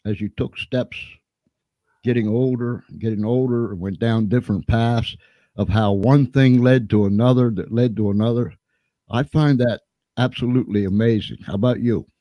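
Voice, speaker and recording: A man hosting a live interview show in an upbeat, energetic manner. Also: mid-range voice, no room sound, poor audio. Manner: upbeat, energetic host patter. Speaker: show host.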